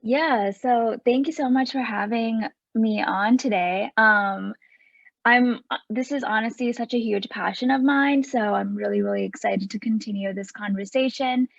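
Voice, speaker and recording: A woman's voice: on the high side, moderate pace, gravelly, no echo. A woman in her twenties from Sri Lanka, a podcast guest and consent activist, speaking earnestly with some fillers. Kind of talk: casual interview talk. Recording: dry, dull lo-fi sound.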